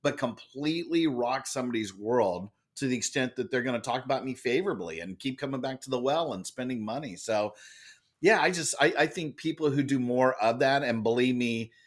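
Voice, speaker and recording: Middle-aged man, loud voice, mid-pitched, lively anecdote-teller for a podcast guest spot. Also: a little fast. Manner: expressive, acts out quoted lines with big jumps in pitch. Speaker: guest, author and speaker. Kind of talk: animated storytelling in conversation.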